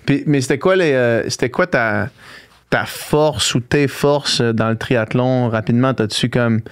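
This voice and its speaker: A man's voice: medium pitch, clean tone. Laid-back Québécois man hosting a podcast. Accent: Québécois French. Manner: laid-back, laughs easily, quick interjections. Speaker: podcast host. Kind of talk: casual interview chat.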